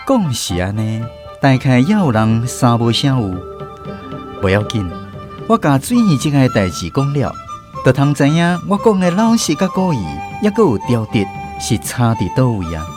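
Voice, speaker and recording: A man's voice: medium pitch, big pitch swings, normal speed, over music. A man hosting and narrating a radio drama episode in a storytelling manner. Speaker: host and narrator.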